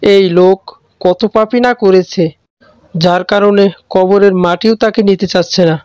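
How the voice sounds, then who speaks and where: A man's voice: sits high, fairly even intonation, normal speed, darkish tone, smooth, no echo, lightly acted. A man voicing a grave, wary village imam in a cartoon ghost story, speaking solemnly. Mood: dismayed.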